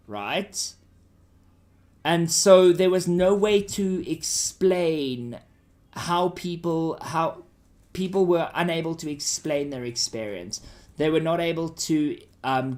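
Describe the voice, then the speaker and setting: Higher-pitched South African man teaching. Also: a little mellow. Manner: informal, checks listeners' understanding. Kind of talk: conversational lesson to students.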